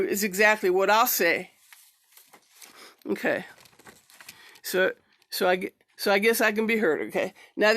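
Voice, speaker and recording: A woman's voice: a little high, a bit bright, no room sound, studio quality. A woman giving an informal amateur poetry recital, reading and introducing pieces in her own way. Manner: halting, repeats words and syllables when speaking off the cuff. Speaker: amateur poetry reader and singer.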